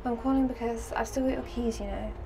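Woman acting a high-voiced hurt young ex-girlfriend, street noise. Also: fairly even intonation, fast, lightly acted. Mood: wry, wistful. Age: young adult. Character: hurt young ex-girlfriend putting on a brave face. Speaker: actress in a short film. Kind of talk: naturalistic film acting, one side of a phone call. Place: phone box on a city street. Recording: clear street and traffic noise.

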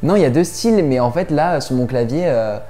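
Mellow-voiced young man, hesitant and giggly. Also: mid-range voice, lively intonation, a little fast. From southern France. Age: young adult. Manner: hesitant, laughing, full of "euh" fillers. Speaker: guest youtuber. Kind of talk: casual quick-fire question game. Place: small room indoors. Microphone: lapel mic.

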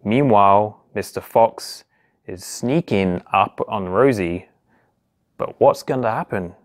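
A man reads a story aloud to young children in simple, expressive narration, posing questions and exclaiming as he goes. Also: lowish voice, takes it slowly. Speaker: police constable reading a children's story. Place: small indoor office or home room.

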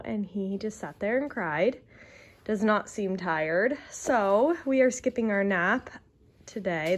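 A young American woman chatting casually to her vlog as a mother, recorded at home in a living room on a handheld camera. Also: medium pitch. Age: young adult. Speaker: vlogging mother of young children.

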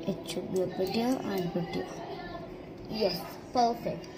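A woman of middle pitch walks through a digital painting, one small step at a time. She talks slowly in short, plain phrases. Music plays clearly behind her voice-over. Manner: simple instructions in short broken phrases. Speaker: art teacher. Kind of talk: step-by-step drawing tutorial. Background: background music.

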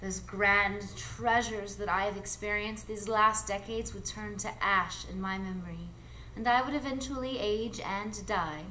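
A woman playing an alluring, ageless, mysterious adventuress in a live radio drama, speaking in a measured, coy, theatrical way. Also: medium pitch, steady tone, pushed and bright. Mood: wistful. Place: live performance space before an audience. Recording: noisy live stage recording.

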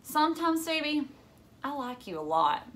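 A woman's voice: sits high, very animated, measured pace, pitched above the performer's own voice. A woman reading the part of a level-headed but exasperated schoolgirl in a storybook, frank and emphatic.

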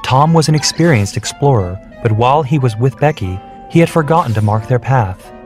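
Measured male storybook narrator. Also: mid-range voice. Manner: clear, measured, steady. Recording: soft background music.

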